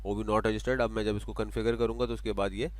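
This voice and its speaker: A man with a slightly deep voice narrates a software tutorial. He talks through the steps casually, as a presenter would, and switches into English for technical terms. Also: flat delivery, quick pace. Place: voice-over for screen recording.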